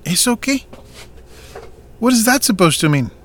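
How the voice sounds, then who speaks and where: An actor playing a firm man who co-runs a family farm, confronting someone in a measured, serious way in an audio drama. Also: sits high, big pitch swings, a bit bright.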